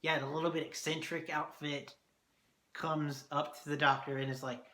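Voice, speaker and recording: Man, hoarse and fading voice, bright tone, excitable, casual recap, swears a lot. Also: fairly high pitch, normal speed. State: hoarse, losing his voice. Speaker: TV review vlogger. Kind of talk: casual episode recap review.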